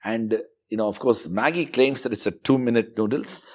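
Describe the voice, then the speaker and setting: A middle-aged man from South India recalling his student years in a relaxed interview, reflective and fluent with frequent fillers. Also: medium pitch, moderate pace. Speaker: interviewee, university professor. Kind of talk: conversational interview.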